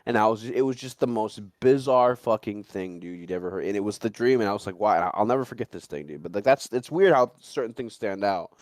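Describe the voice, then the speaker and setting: A man's voice: on the low side, expressive, fast talker, hardly any pauses, a little mellow, dry recording, clean studio sound. A man hosting a livestream, chatting casually in a rambling, slangy way with frequent swearing. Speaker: livestream host. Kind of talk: casual chat.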